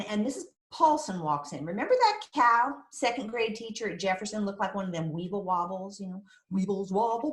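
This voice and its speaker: A woman's voice: medium pitch, fairly bright tone, roomy sound. A woman acting a crazy, possessive, folksy small-town mother who is fond but prickly, in a screenplay table read. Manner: folksy, dramatic storytelling with teasing jabs. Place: remote virtual table read, roomy-sounding space.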